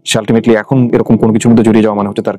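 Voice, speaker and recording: A man's voice: fairly low pitch, little pitch movement, rapid-fire, a little mellow. A man with a Bangladeshi accent hosting an interview, asking probing questions and mixing English words into his speech. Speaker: radio show host interviewing a guest.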